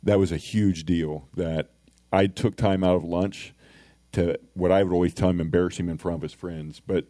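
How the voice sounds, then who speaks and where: Man, middle-aged, deep voice, earnest, plainspoken, dark tone. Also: steady tone, moderate pace, dry recording, studio quality. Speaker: school resource officer. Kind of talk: casual podcast interview.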